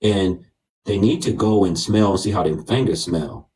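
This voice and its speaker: A man's voice: deep voice, normal speed, dark tone. An American man hosting a livestream debate, talking in a mocking, goading manner. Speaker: livestream host. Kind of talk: bantering livestream debate talk.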